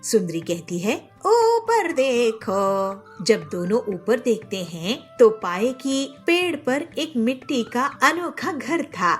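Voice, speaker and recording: Slow woman narrating a cartoon. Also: fairly high pitch, faint music behind. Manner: slow, plain storytelling. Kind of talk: scripted storybook narration.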